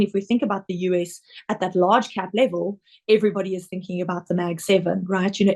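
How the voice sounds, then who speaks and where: A woman with a South African accent, an investment director giving a webinar presentation over slides in a measured, explanatory way with occasional ums. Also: medium pitch, a little mellow.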